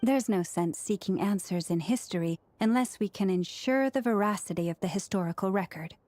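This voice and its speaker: A woman's voice: medium pitch, brisk, a little mellow, no room sound, natural voice. A woman playing a composed, earnest, scholarly priestess in a video game, speaking formally and precisely. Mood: earnest, measured. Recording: music behind the voice. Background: background music.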